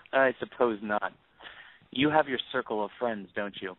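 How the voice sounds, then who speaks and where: Guy playing a vengeful grieving dad in a radio play, mid pitch, accusing and bitter, sounds like down a phone line. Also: no room sound. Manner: accusing, sarcastic, commanding, deliberate. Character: bitter grieving father, host turned cold menacing avenger. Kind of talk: audio stage-play acting. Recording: tinny phone-like band.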